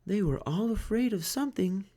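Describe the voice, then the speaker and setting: A timid little boy turtle from a children's storybook. The voice sits high and moves up and down a lot, earnest and polite, pleading in small careful phrases. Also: expressive, moderate pace, mellow.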